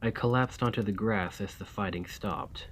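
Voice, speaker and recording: A man playing a weary, courtly, centuries-old vampire and former soldier in an ASMR roleplay, speaking in formal, old-fashioned, measured diction. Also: fairly low pitch, a little hiss or hum, close to an everyday voice. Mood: haunted, sorrowful.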